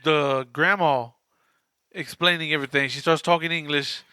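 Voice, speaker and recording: A young man, of Cuban background, retells a story on a podcast in a loose, offhand way. His voice is bright and smooth, a little high, and recorded dry and close. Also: fairly even intonation, normal speed, no echo. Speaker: podcast co-host. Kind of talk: casual chat.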